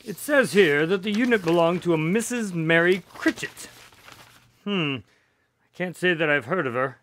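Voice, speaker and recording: An actor playing a loyal, practical doctor sidekick in a radio drama, proper and mildly exasperated in manner. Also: male voice, high voice, a little slow, fairly bright tone, slight background noise. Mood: businesslike.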